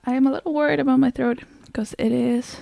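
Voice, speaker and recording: Soft, creaky-voiced woman streaming ASMR. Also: high-pitched, steady tone, fast, no room sound, clean studio sound, soft background music. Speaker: livestreamer greeting chat and hosting a game stream. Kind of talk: soft-spoken ASMR, casual livestream chat.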